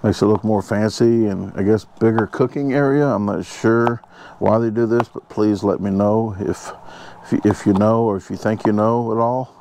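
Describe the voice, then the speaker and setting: Low-voiced man cooking outdoors. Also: steady tone, normal speed, background noise. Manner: joking asides, rambling fillers. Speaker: outdoors vlogger. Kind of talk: casual camp cooking chat. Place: outdoors at a campsite.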